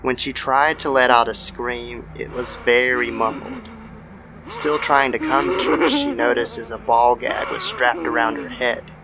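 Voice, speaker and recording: A man's voice: medium pitch, fairly even intonation, unhurried, background noise. A man narrating a scripted horror story in the style of old-time radio. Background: background music.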